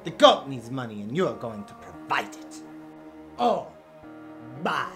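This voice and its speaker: Brief: actor as a domineering mock-French mime, drawn-out delivery, faint music under it. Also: male voice, medium pitch, very animated, fairly bright tone. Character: menacing male mime with fake French accent. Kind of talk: campy web-series comedy acting.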